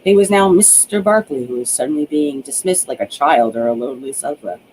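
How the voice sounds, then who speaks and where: A man's voice: medium pitch, a little fast, a little mellow, a little hiss or hum, low-quality recording. A man narrating a romance audiobook in a dramatic storytelling way, with the odd stumble. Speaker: author reading own novel aloud.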